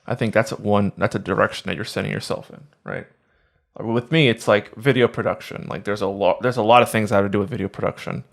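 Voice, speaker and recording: A man co-hosting a podcast, chatting casually in halting sentences with restarts and fillers. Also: fairly low pitch, creaky. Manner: halting, restarts, many "like"s and "you know"s. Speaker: podcast co-host. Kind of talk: casual chat.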